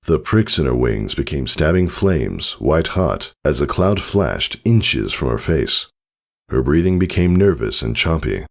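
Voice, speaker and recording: Very deep man narrating, lively intonation. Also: a little slow, creaky. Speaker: fan fiction narrator. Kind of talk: scripted dramatic story narration.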